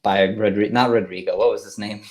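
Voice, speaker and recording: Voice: sits low, fairly even intonation, fairly quick, a little mellow. A player in a tabletop game, joking and teasing the others in casual chat. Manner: joking, teasing, laughs a lot.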